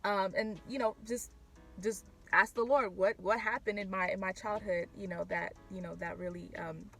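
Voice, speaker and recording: A woman's voice: medium pitch, fairly even intonation, normal speed, vocal fry, fairly bright tone, soft background music. A young woman born in Haiti and raised in Florida, telling her personal faith story in a casual, candid vlog, with many fillers and restarts. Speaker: vlogger.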